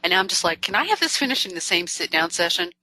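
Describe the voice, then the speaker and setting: A woman's voice: fairly low pitch, big pitch swings, quick pace, pushed and bright, rough recording. A woman co-hosting a gaming podcast, chatting casually, teasing and laughing often. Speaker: podcast co-host.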